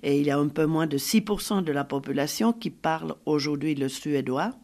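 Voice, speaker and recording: A woman's voice: low voice, expressive, fairly quick, darkish tone. A Finnish woman speaking a second language with a Finnish accent, explaining things in an interview with frequent hesitant fillers. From Finland. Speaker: guest, film festival organizer. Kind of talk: conversational interview.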